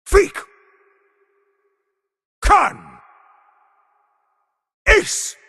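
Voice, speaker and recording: An actor playing an arrogant, imperious male high elf in a fantasy video game, with a condescending, clipped manner. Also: slightly rough, no room sound, clean studio sound.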